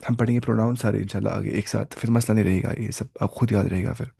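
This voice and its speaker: A man teaching an online language class over a video call. He explains patiently, repeats points, and slowly sounds out each example phrase. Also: fairly low pitch, fairly even intonation, vocal fry, dark tone, breathy edge, dry recording, clean studio sound. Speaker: Arabic teacher.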